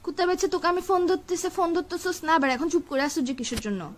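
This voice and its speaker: A woman's voice: extremely high pitch, quick pace, slight background noise. A young woman, playing a girlfriend on a comic phone call who scolds and hurls insults at her boyfriend.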